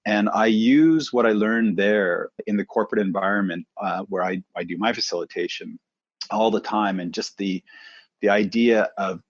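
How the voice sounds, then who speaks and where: A middle-aged man from Canada, a presenter coach telling his career story in a relaxed, thoughtful interview. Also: on the low side, normal speed, no room sound, dull audio.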